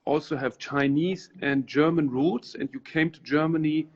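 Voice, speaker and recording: A man's voice: fairly high pitch, steady tone, moderate pace, a little mellow. Man with dull lo-fi audio, rambling host. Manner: rambling questions with restarts. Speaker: gallery host. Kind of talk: live interview.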